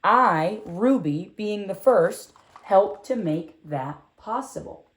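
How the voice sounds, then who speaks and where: A woman reading a children's storybook in the first person as a curious, proud six-year-old girl, expressive and full of wonder. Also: medium pitch, takes it slowly. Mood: proud, hopeful. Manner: expressive, wondering, asks rhetorical questions.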